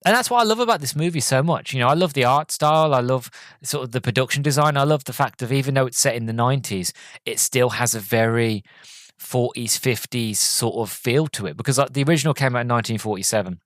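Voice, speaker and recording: Podcast host, man, mid-pitched, a little groggy from a cold, rambles with false starts, dry booth sound. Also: quick pace, fairly bright tone, no room sound. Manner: rambling, lots of restarts and ums. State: has a cold, a bit groggy. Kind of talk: casual solo movie review chat.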